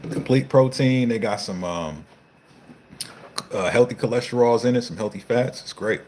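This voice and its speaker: A man's voice: medium pitch, normal speed, darkish tone, slight background noise. A man in his thirties, a fitness coach, hosting a casual live Q&A, reading viewer comments and answering in a loose, slangy, profane way. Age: 30s.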